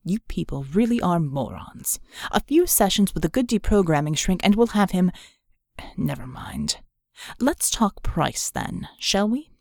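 A woman's voice: on the low side, sing-song, measured pace, darkish tone, dry recording, studio quality, heavily acted. A woman voicing a cool, condescending male mercenary in an audiobook, businesslike and disdainful. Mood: scornful, businesslike.

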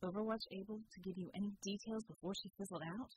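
Lady doing a wry gruff guy on a mission, mutters, matter of fact, audiobook. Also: mid-range voice, steady tone, fast talker, a little mellow, low-quality recording. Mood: dry, focused.

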